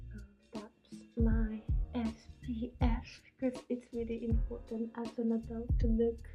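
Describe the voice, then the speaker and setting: A young woman chats to camera at home in a relaxed vlog, her voice hoarse from the morning and slightly high. She slips now and then into soft, breathy whispers. Music plays clearly underneath. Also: low-quality recording. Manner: sleepy, drifts into soft whispers. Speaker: vlogger. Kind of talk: casual vlog chat to camera. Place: small home apartment indoors. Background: background music.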